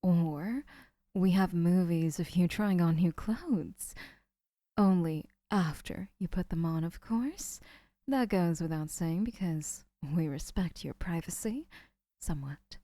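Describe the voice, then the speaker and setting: A woman playing a doting, obsessive young woman who keeps the listener, speaking in a soft, whispery, sweetly possessive way in an audio roleplay. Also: on the low side, slow, no echo.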